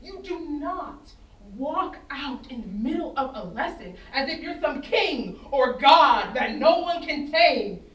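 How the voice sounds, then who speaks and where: A woman performs an angry, hurt mother in a stage drama. Her voice is high and very lively, insistent as she repeats herself. She is heard from a distance in a roomy space with faint noise. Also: takes it slowly, few pauses, exaggerated voice. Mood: stern, pleading. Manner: stern, insistent, repeating words for emphasis. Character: angry, wounded mother confronting her teenage son. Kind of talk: staged play reading, naturalistic dramatic acting. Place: indoor performance space before an audience.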